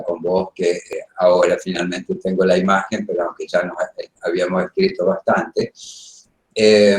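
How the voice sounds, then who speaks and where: A man with a fairly low voice speaks as a guest lecturer in an informal, reflective way. He pauses between phrases, and his voice comes with clear room echo. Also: darkish tone. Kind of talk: informal spoken lecture opening. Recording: roomy, echoing online call.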